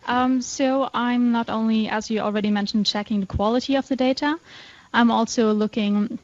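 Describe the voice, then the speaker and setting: A woman's voice: a little high, fairly even intonation, normal speed, darkish tone, highs cut off. A young woman from Germany, a postdoctoral physicist, answering interview questions plainly. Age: young adult. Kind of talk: live interview.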